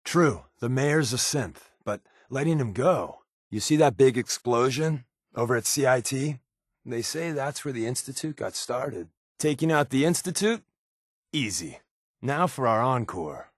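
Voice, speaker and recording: An actor playing a sardonic, wisecracking undercover resistance agent in a video game, with a casual, slangy, quipping delivery. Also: male voice, mid-range voice, no room sound, clean studio sound. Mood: determined, wry. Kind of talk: video game character voice lines.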